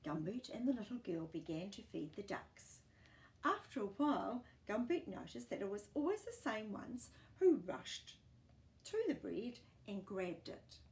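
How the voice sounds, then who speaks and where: Slow, very expressive woman reading a children's story. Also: medium pitch, roomy sound. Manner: animated, encouraging, asks the listener questions.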